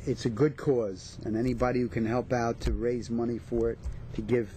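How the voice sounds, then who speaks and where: Mellow New man, casual. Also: mid-range voice, steady tone, moderate pace, a little hiss or hum. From New York/New Jersey area. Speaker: actor, interview guest. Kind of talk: casual interview chat.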